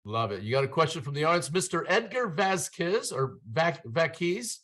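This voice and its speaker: A man from Ohio hosting a live online panel over a video call, upbeat, often cutting in with quick questions. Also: on the high side, very animated, a bit bright. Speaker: host.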